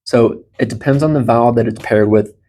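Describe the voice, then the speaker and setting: A man's voice: medium pitch, a little fast, dark tone. A man in his thirties with an American accent, explaining things in an informal, encouraging way as a language teacher in a small room. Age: 30s. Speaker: Spanish teacher. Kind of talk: informal group language lesson. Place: small indoor room beside a large monitor.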